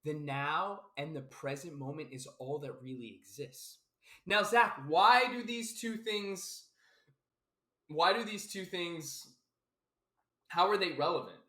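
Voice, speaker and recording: A man's voice: a little high, lively intonation, slow pace, sharp tone. A man from South Florida, a life coach, giving a casual, emphatic philosophical monologue full of repetition, fillers and the odd swear word. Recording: roomy echo.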